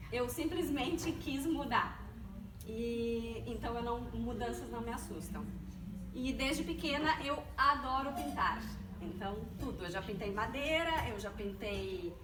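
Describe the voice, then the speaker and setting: A woman's voice: on the high side, unhurried. A woman with a southern Brazilian Gaúcho accent giving an informal, conversational public talk. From Rio Grande do Sul, Brazil. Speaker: speaker, interior architect. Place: echoey room at a live event. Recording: echoey room, distant mic, noisy.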